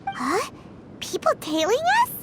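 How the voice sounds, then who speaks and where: A woman's voice: very high voice, huge pitch swings, measured pace, full character voice. A woman voicing a small, curious, fairy-like video game companion with a childlike, eager manner. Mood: startled. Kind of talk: scripted video game voice acting. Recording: music playing behind the voice.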